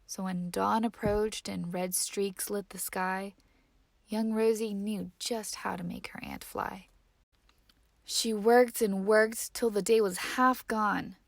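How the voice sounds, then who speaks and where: A woman reading a children's picture book aloud in an expressive, measured storytelling manner. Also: medium pitch, creaky, dry recording, studio quality. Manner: measured, dramatic storytelling with hushed phrase endings. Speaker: story reader.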